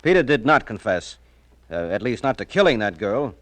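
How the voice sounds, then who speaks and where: A flustered, scheming conventioneer from a 1940s radio drama. His mid-pitched voice jumps around as he stammers evasively, in a close, dry sound. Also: big pitch swings, moderate pace, a bit bright, no room sound. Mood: protesting. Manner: stammering, agitated.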